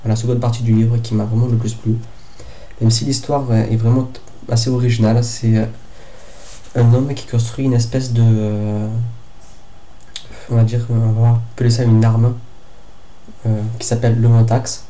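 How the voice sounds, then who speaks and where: Young man with a cold, dark flat voice. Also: mid-range voice, moderate pace, slight background noise. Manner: hesitant, lots of fillers and restarts. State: has a head cold. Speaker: book vlogger. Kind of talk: casual book review vlog.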